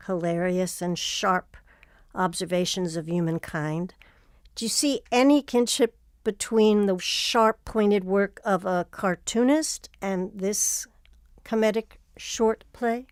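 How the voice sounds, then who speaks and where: A woman's voice: medium pitch, darkish tone, dry recording, studio quality. A woman hosting an arts radio program, moving between scripted announcements and interview questions.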